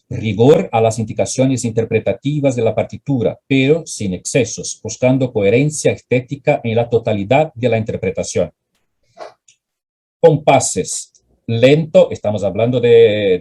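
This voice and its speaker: A man with a lowish, mellow and slightly husky voice gives a music lecture over a video call. He hesitates often, pausing between phrases, then reads his points in a steady, measured way. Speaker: guest lecturer.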